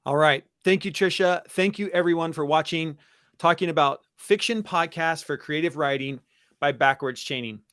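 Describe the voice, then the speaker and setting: A man's voice: a little high, normal speed, fairly bright tone, clean tone, no echo, studio quality. A man hosting an educational webinar, talking conversationally in an enthusiastic, emphatic and personal way. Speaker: podcast and webinar host.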